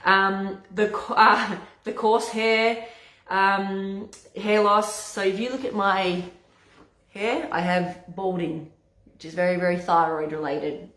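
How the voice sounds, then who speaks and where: A woman naturopath with a hoarse, husky voice, chatting casually to camera and often stumbling over and restarting her words. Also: medium pitch, fairly even intonation, takes it slowly, fairly bright tone. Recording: echoey room.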